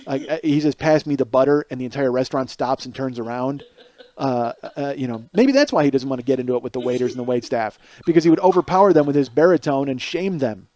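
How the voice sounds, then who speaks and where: A man's voice: mid-range voice, lively intonation, fast, dark tone, a little hiss or hum. A man in his forties with a lisp, hosting a podcast in a rambling, self-mocking comedic monologue. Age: 40s. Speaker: podcast host.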